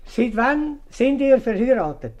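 Blustering Swiss German magistrate. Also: male voice, very high voice, sing-song, measured pace, darkish tone, full character voice. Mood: stern, inquisitive. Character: blustering, scolding male town councillor acting as judge. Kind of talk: 1950s radio comedy acting in dialect.

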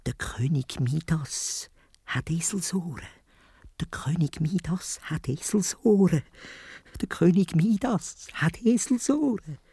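A man's voice: fairly high pitch, expressive, slow, mellow, slightly rough, no room sound, clean studio sound, voice pushed up, exaggerated voice. A man voicing a secretive royal barber in a children's story, in a conspiratorial whisper.

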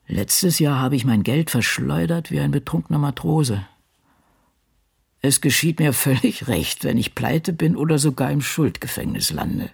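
An actor in a literary radio drama voicing the brooding diary thoughts of an aging crime novelist, gravelly and husky. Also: male voice, fairly high pitch, a little slow, full character voice. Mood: bitter, resigned.

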